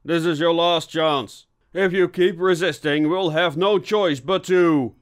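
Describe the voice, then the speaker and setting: A man's voice: fairly high pitch, steady tone, a little slow, smooth, voice pushed up, lightly acted. A man voicing a commanding, short-tempered police inspector in an audiobook, stern and barking orders. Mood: stern warning. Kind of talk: audiobook character voice.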